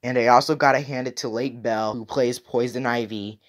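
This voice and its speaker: A man's voice: mid-range voice, steady tone, moderate pace. A man talking casually to viewers as an enthusiastic, opinionated reviewer who leans on emphatic repetition. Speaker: YouTube reviewer, host.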